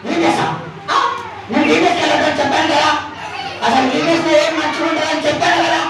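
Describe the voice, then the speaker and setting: A performer voicing a Satan puppet, a loud, scolding, pushy tempter in a children's puppet show. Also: high voice, steady tone, fairly quick, voice pushed up, natural voice. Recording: echoey hall, distant mic, loud noisy crowd.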